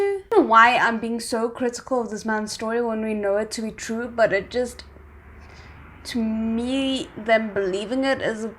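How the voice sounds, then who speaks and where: A woman hosting a reaction video, giving casual commentary and thinking aloud. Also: a little high.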